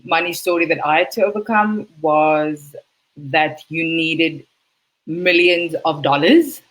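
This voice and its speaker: A woman from South Africa with a South African accent, a guest in a casual interview, telling her story in a chatty, lively way. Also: low voice, takes it slowly, low-quality recording. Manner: chatty, animated storytelling with laughs.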